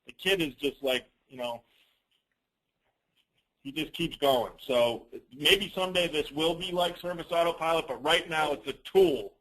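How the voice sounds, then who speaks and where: Higher-voiced man giving a jokey, informal demo. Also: measured pace, fairly bright tone, poor audio. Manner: jokey, teasing, self-deprecating asides. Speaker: presenter of a business training conference call. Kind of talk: informal software demo, casual and rambling.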